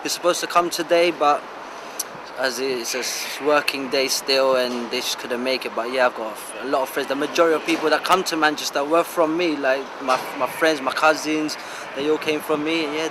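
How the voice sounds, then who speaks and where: A man's voice: fairly high pitch, fairly quick, background noise. Eager, rambling man in his thirties. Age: 30s. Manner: eager, rambling, informal speech. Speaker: football player. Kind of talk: casual interview.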